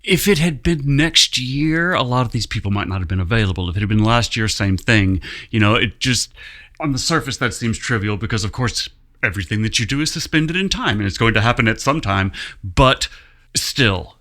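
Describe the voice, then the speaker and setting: Bright-voiced man with lively, swooping intonation. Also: medium pitch, fairly quick. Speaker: theatre director, interview guest. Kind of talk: conversational interview.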